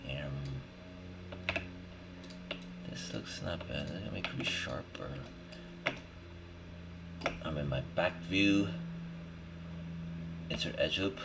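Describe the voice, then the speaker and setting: Very deep-voiced man, slow casual tutorial. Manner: muttering short fragments, slangy. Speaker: 3D modeling tutorial host. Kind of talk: casual screen-recorded tutorial, thinking aloud while working. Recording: clear background noise, keyboard and mouse clicks. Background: typing and mouse clicking.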